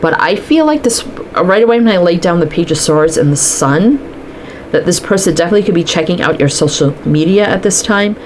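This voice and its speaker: Stuffy-nosed woman, low pitch, chatty tarot reader talking through her cards. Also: a little mellow, a little hiss or hum. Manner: chatty, thinks aloud while pulling cards. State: stuffy from allergies, sniffling. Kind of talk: casual tarot card reading to camera.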